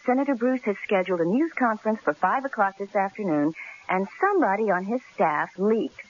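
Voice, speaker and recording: An actress playing a pert, inquisitive newspaper secretary in an old-time radio drama, arch and playful. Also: female voice, mid-range voice, lively intonation, a little fast, lightly acted. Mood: brisk, informative. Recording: dull, muffled old radio broadcast recording.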